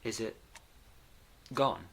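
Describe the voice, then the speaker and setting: A man playing a shaken, wary survivor, speaking hesitantly and quietly in an audio drama. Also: extremely slow. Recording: noticeable faint background noise.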